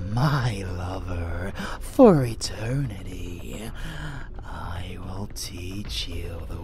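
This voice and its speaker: A man playing an unhinged, swaggering, seductive vampire lover in a dark romance audio roleplay, slow and theatrical, often slipping into a gravelly whisper. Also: fairly low pitch, a little hiss or hum, heavily acted.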